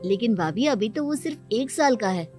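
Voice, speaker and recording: A woman's voice: a little high, lively intonation, fairly quick, over music, natural voice. A woman playing a mild, polite, childless husband and younger brother in a moral story. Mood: reasonable. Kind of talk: dramatised Hindi moral story acting.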